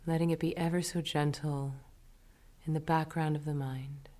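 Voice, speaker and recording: A woman leading a guided meditation as an instructor, speaking in a gentle, soothing and unhurried way. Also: low voice, flat delivery.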